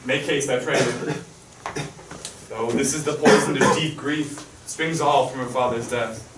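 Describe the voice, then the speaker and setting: A man's voice: mid-range voice, steady tone, measured pace, fairly bright tone, slight background noise, close to an everyday voice. A man acting a scheming king, speaking declamatory stage verse, recorded from far off in an echoey hall. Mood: grave. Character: scheming king, smooth and commanding.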